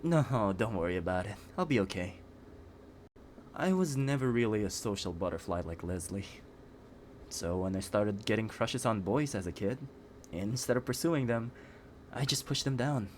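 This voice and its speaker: A man acting a shy, guarded young guy with a fairly low voice. He speaks earnestly and with restraint, as in an intimate audio roleplay. There is noise behind the voice. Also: lively intonation, moderate pace, a little mellow, close to an everyday voice. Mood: wistful, reassuring. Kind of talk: scripted ASMR roleplay acting. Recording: clearly noisy background.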